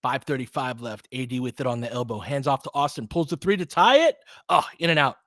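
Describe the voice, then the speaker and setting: A man's voice: medium pitch, expressive, a little fast, a bit bright, no echo, studio quality. A man doing live basketball play-by-play as a partisan fan, in short clipped phrases with casual, slangy exclamations and bits of analysis. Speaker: fan play-by-play commentator and host.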